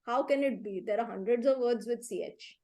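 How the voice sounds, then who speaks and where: A middle-aged woman with an Indian accent gives conversational advice in a live online class, speaking in an emphatic, animated and opinionated way. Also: on the high side, fairly quick, crowd noise. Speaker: reading teacher and parenting expert. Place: online video call.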